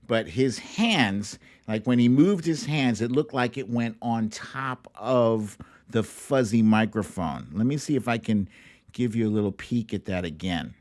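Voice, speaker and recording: A man's voice: mid-range voice, animated, measured pace, a little mellow, dry recording, studio quality. A man hosting a casual political commentary livestream in a chatty, incredulous, amused way.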